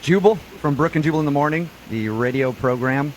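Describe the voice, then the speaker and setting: A man's voice: on the high side, brisk, a little mellow, slight background noise, low-quality recording. A man hosting a radio show, chatting casually and probing with questions in an amused way. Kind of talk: casual phone prank-call radio chat.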